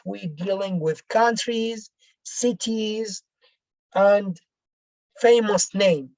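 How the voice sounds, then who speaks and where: Slow-paced woman teacher, non-native English. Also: medium pitch, mellow, no echo, highs cut off. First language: not English. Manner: halting, repetitive, explaining step by step. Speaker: language teacher. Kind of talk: bilingual lesson, reading out exercises and explaining answers.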